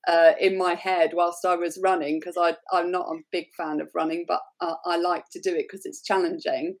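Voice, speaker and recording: A woman's voice: on the low side, flat delivery, normal speed. A woman hosting a podcast interview, chatting enthusiastically and sharing her own experiences. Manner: enthusiastic, warm interjections, laughs easily. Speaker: podcast host. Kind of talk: conversational interview.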